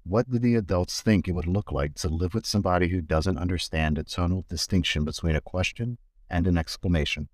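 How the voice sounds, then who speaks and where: A man's voice: low voice, brisk, no echo, clean studio sound. A man giving a literary reading of poems. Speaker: guest reader of poems.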